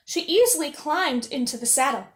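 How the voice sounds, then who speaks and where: A woman tells a children's story in a high-pitched voice. Her reading is expressive and animated, like a princess character at story time. The room adds noticeable echo. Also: moderate pace. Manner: animated, acting out dialogue.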